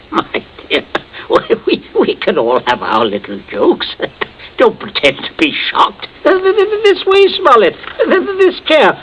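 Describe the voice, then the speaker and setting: A man's voice: very high-pitched, wildly swooping pitch, slow pace, heavily acted. An actor playing a stern, scheming middle-aged father in 1940s radio drama, with a clipped, commanding manner. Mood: nervously jovial. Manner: clipped, commanding, interrogating, false joviality with guests. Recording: muffled, noisy old 1940s radio recording.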